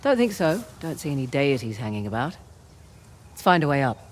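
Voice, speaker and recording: Breezy wry treasure hunter woman in a game, lively pitch swings, noisy ambience behind. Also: a little fast. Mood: wry, focused. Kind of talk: video game cutscene acting.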